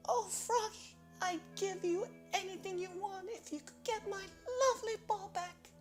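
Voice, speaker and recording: A man voicing a spoiled, impulsive young princess in a storybook, with a thin squeaky falsetto and a whiny, melodramatic manner. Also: steady tone, normal speed, faint music behind. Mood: wailing, pleading. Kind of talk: storybook character voice.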